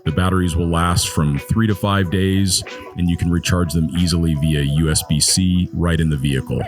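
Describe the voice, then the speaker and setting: A man's voice: low voice, fairly even intonation, normal speed, music in the background. A man hosting a podcast, interviewing his guest and reading the sponsor message. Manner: friendly, brief affirmations while listening. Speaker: podcast host. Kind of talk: podcast interview and host-read ad.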